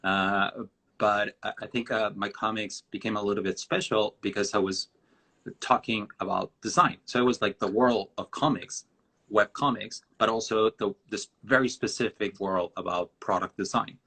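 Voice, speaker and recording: A Mexican-accented man with a low, husky voice and a tense, bright edge, answering questions in a live-streamed interview. He thinks aloud as he talks, rambling and restarting his sentences. English is his second language. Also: steady tone, normal speed. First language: Spanish. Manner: rambling and reflective, with many restarts. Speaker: guest designer.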